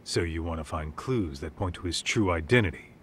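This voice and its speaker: An American man playing a gruff, blunt, streetwise detective's sidekick in a game cutscene, talking informally. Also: low-pitched, expressive, brisk, a bit bright, slight background noise. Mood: matter-of-fact. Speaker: video game voice actor. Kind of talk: English dub game cutscene dialogue.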